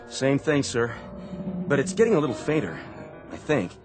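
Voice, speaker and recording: A man's voice: mid-range voice, sing-song, normal speed, music in the background, natural voice. A man playing an alert, dutiful Navy sailor giving a report in a radio drama. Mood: alert, uncertain.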